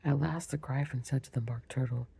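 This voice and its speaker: A parent in their thirties or forties whispering a bedtime story aloud, soft and a little apologetic in the asides. The whisper is dark and mellow, with a husky, grainy texture. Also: mid-range voice, steady tone, a little fast, no echo.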